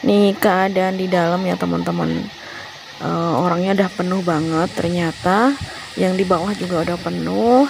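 Gravelly, flat-voiced woman describing a queue. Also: lowish voice, normal speed, music in the background. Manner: describes the scene to viewers. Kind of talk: casual vlog narration.

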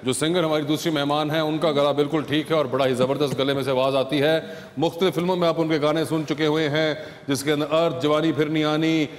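A man's voice: on the high side, steady tone, fast talker, hardly any pauses, big-room sound. A man hosting a comedy talk show, teasing his guests and trading jokes, recorded with a lapel mic in a large TV studio. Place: large TV studio with live audience.

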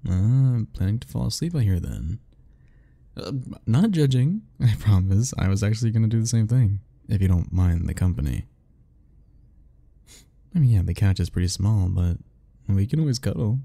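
A man's voice: fairly low pitch, lively intonation, moderate pace, gravelly, mellow. A young man doing an ASMR roleplay as a teasing, easygoing roommate talking to the listener, laughing often.

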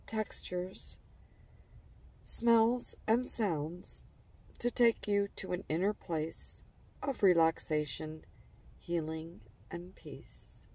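A woman narrating a guided imagery relaxation in a calm, gentle, soothing manner, letting phrase endings trail softly. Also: lowish voice, extremely slow, breathy edge, distant mic, low-quality recording.

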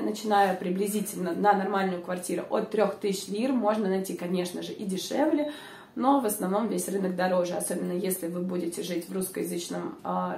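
Hoarse young woman vlogging, gravelly voice, bit of room echo, sounds off-mic. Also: mid-range voice, a little mellow, recorded from a distance. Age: young adult. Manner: chatty, many filler sounds. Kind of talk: casual informative vlog. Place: small room indoors. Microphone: lapel mic.

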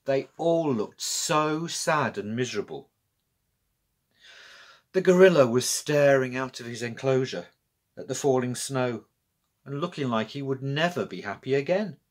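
A middle-aged male storyteller reads for children with deliberate expression. His pitch rises and falls widely, and his voice has a slightly husky, unpolished edge. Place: small room with bookshelves, indoors.

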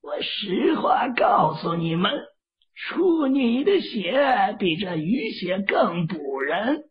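A man voicing a lecherous, cruel middle-aged male Taoist villain who gloats with drawn-out, sneering menace in a read-aloud audio novel. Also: sits high, very animated, slightly rough, voice pushed up, exaggerated voice. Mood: threatening. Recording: phone-like, lo-fi, distant sound.

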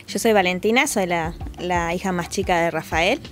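A woman's voice: on the low side, moderate pace. A young woman with a Rioplatense accent, a guest telling her story casually in a living room. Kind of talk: casual interview talk.

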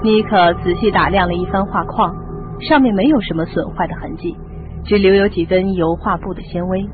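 A woman's voice: mid-range voice, a little fast. A woman reading scripted narration as the narrator of a radio mystery drama. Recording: muffled lo-fi radio drama, music behind.

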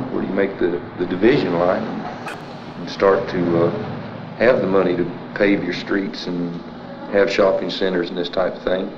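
A man's voice: low-pitched, noisy background. A middle-aged American man talking unscripted in an interview, recorded in a small office on 1970s film. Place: small panelled office, indoors. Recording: echoey, dull 1970s film interview sound.

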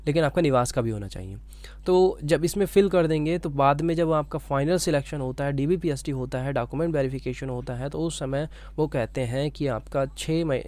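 A man's voice: mid-range voice, a little mellow, no echo. A man teaching informally as an explainer, repeating points and often checking in with short tags. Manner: repetitive, checking in with "okay" tags. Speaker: teacher explaining an exam notification.

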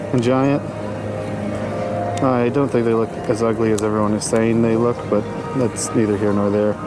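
A man casually narrating a walking tour outdoors at a theme park, rambling and offhand and correcting himself as he goes. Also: medium pitch. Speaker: vlog host.

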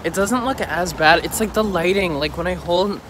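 A young man vlogging casually on a phone at arm's length, walking city streets and chatting excitably with lots of "like". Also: high voice, normal speed, a little hiss or hum. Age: young adult. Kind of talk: casual vlog chat. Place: bedroom indoors, then city streets outdoors. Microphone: phone held at arm's length.

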